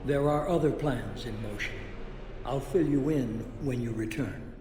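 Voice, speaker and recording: A male actor plays a villainous mastermind in a video game, cool and controlling. His medium-pitched voice is condescending and rings with long reverb, with music faintly behind. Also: measured pace, close to an everyday voice. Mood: cool disapproval.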